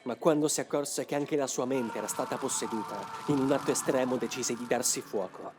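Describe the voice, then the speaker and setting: A man's voice: mid-range voice, fairly quick, close to an everyday voice. Commanding, secretive young man, voiced by an actor over music. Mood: grave. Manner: solemn, commanding, dramatic. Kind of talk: dubbed anime motion comic acting.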